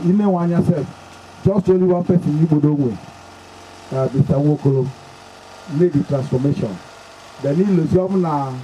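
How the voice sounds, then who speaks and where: A Nigerian man acts as the MC at a community gathering. His voice sits a bit high but sounds very dark and muffled. He talks in a loose, halting way, drifting between Pidgin and Igbo. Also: a little hiss or hum. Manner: loose, halting announcing with fillers. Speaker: event MC. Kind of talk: public address mixing Pidgin and Igbo. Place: community summit venue.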